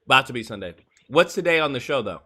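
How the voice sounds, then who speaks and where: A man's voice: mid-range voice, expressive, quick pace, bright, forceful tone. A man hosting a live call-in show, blunt and profane, mocking his callers.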